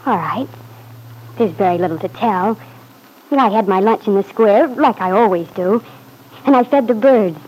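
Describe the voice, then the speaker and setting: An actress playing a sweet, anxious young wife, eager and placating, in an old-time radio soap opera. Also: female voice, mid-range voice, normal speed, pauses often, darkish tone, breathy edge, lightly acted, low-quality recording.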